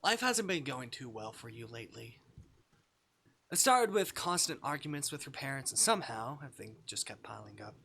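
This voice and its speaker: A man hosting a game livestream with a bright, forward voice and very animated pitch. He reads visual novel text aloud and mixes it with playful chat. His delivery is expressive and jokey. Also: a little fast, gravelly. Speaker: live streamer playing a visual novel.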